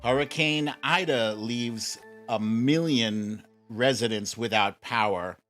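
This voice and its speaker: A man's voice: mid-range voice, soft background music. A middle-aged American man hosting a casual livestream, chatting informally and playfully with his viewers. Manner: informal, playful, drawn-out shout-outs to viewers. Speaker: livestream show host.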